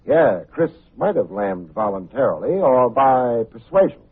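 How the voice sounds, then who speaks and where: An unflappable private detective from 1950s radio. The voice is heavily rasped, the delivery clipped, wry and full of dry noir wisecracks. It comes through an old, phone-like recording. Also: male voice, medium pitch, sing-song, measured pace, heavily acted. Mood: probing, dry. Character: wry, hard-boiled male private investigator, coolly unflappable.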